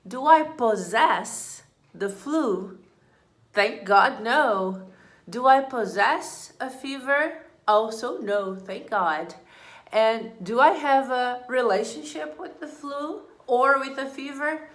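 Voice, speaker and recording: A woman explains English grammar to her students, going slowly and patiently. She says each example sentence twice in a deliberate, measured voice. Her voice carries a noticeable echo from the room. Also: on the high side, fairly bright tone. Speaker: English teacher. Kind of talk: slow, deliberate classroom explanation. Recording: echoey room.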